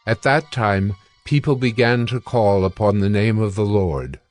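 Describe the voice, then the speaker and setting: A man narrating scripture from a script in a measured, solemn manner. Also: on the low side, darkish tone, soft background music.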